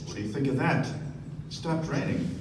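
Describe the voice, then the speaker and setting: A man's voice: medium pitch, animated, brisk, lightly acted. An actor playing a nervous, eager, genteel rich man with clipped politeness in a radio play. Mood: eager, boastful. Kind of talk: live radio play acting. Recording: very echoey, distant, noisy stage recording.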